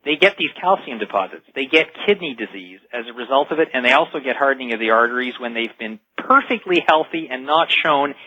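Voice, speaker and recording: A man's voice: mid-range voice, animated, fairly quick, hardly any pauses, pushed and bright, dry recording. A man speaking as a guest expert in a radio interview, explaining in an emphatic storytelling way with rhetorical build-ups, over dull lo-fi sound.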